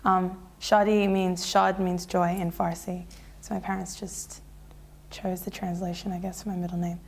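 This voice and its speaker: A woman, telling her life story in a relaxed, chatty way full of fillers and restarts. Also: lowish voice, normal speed, vocal fry, breathy edge. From Australia. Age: 20s. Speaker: interviewee. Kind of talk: casual personal storytelling.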